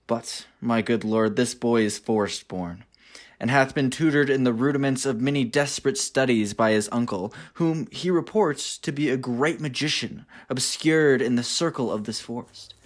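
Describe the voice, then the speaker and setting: A man's voice: mid-range voice, moderate pace, close to an everyday voice. Man playing earnest lovesick Orlando. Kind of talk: Shakespearean radio play acting.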